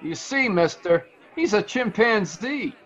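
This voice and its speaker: An actor playing an amused, chatty informant in 1940s radio drama, slangy and a little hesitant. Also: male voice, high-pitched, expressive, slow pace, highs cut off.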